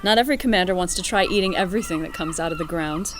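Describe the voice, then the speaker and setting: A woman's voice: fairly low pitch, very fast, background noise, close to an everyday voice. An actress playing an affectionate female commander, wry, gracious and playful, in a science fiction audio drama. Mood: fond, teasing. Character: affectionate, teasing female commander from another planet.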